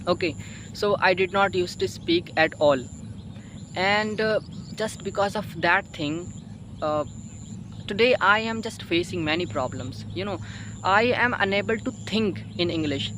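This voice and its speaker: A man with an Indian accent and Hindi as his first language speaks in a high-pitched voice. He gives informal learning advice, pausing and repeating phrases for emphasis. Clear outdoor background noise sits behind him. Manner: halting, repetitive, emphatic, frequent "you know" and "okay" tags. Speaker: English-learning video host, learner. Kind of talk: informal spoken advice to viewers.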